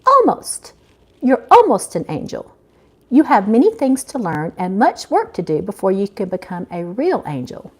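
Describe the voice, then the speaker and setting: A woman's voice: mid-range voice, wildly swooping pitch, moderate pace, husky. A woman reading a story, voicing a prim, impatient older female angel mentor who instructs snippily. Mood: prim, instructive. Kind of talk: live audiobook-style reading.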